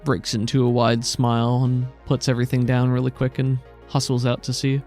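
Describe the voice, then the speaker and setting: A man improvising scene descriptions as a game master, measured and pausing between phrases. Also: medium pitch, completely flat delivery, darkish tone, faint music behind. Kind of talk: improvised tabletop game narration.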